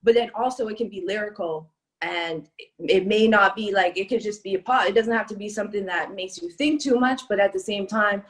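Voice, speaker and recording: A young woman from Canada, a rapper, talks easily about her music in an interview. Her speech is loose and chatty, full of "like" and "um". Her voice sits in an ordinary middle pitch. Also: a little fast. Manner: chatty, lots of "like" and "um". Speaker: rapper guest. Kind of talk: casual interview chat.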